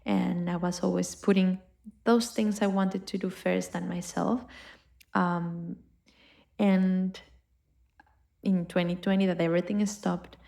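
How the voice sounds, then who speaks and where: Colombian woman with a gravelly low voice. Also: little pitch movement, takes it slowly, pauses often. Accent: Colombian Spanish. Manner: warm, reflective, upbeat with filler words. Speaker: podcast host. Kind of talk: casual personal podcast monologue.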